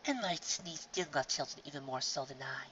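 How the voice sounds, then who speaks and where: A reader voicing a regal, gentle female pony ruler who speaks softly and in a measured way. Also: mid-range voice, recorded from a distance, dull audio, slight background noise, lightly acted. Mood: fond.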